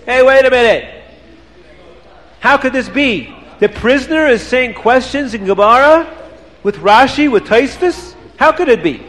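Man playing astonished teacher, exclaiming, high voice, reverberant hall. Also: expressive, unhurried, fairly bright tone, exaggerated voice. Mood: incredulous. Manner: exclaiming in disbelief, pitched up. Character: astonished male Torah teacher, excitable.

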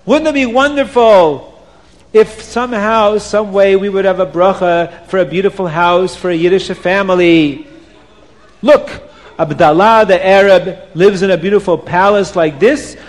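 Storyteller guy doing a poor dad daydreaming out loud, a bit higher, wistful. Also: measured pace, long reverb tail. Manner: wistful, musing. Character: poor dreamy hopeful father of six.

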